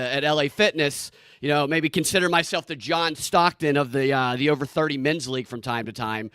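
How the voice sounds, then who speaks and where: A man in his thirties talks over a video call in a smooth, clean voice with a dry, close sound. He jokes sarcastically and rambles from one topic to the next in a relaxed conversation. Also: mid-range voice, no room sound. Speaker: podcast host, guest here. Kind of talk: casual interview chat.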